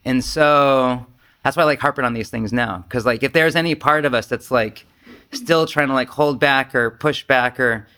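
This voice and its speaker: A man's voice: medium pitch, moderate pace, pushed and bright, clear, resonant tone. A man leading a casual group discussion as a Zen teacher, speaking candidly and self-deprecatingly, with swearing and imagined quotes.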